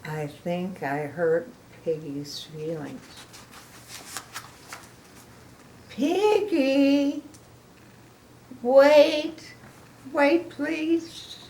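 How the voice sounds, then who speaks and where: A woman voicing an anxious, reluctant but kindly male elephant in a children's picture book, halting and emphatic. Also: high voice, fairly even intonation, a little mellow, echoey room, a little hiss or hum, voice pushed up. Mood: worried. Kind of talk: animated children's picture-book read-aloud.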